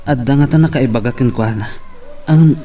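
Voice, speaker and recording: A deferential, hesitant Ilocano employee, voiced by a man in an audio drama. His mid-pitched voice sounds a little away from the microphone. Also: moderate pace, warm tone, far from the mic, lightly acted. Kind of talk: amateur audio drama acting.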